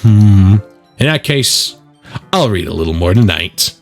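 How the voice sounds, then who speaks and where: A man's voice: lowish voice, lively intonation, unhurried, creaky, soft background music. A man voicing an awkward, well-meaning teenage schoolboy, the casual, self-deprecating lead of a visual novel. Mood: easygoing.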